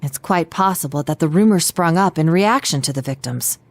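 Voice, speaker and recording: A woman's voice: low voice, moderate pace, no echo. A woman playing a composed, analytical teenage detective in a video game, speaking politely with precise, formal diction.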